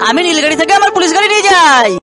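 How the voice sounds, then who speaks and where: A woman's voice: very high-pitched, expressive, racing. A woman voicing young characters in a kids' cartoon, speaking simply and emphatically. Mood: chirpy. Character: chirpy children and mothers in a kids' cartoon. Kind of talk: cartoon dialogue. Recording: music behind the voice. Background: cartoon music.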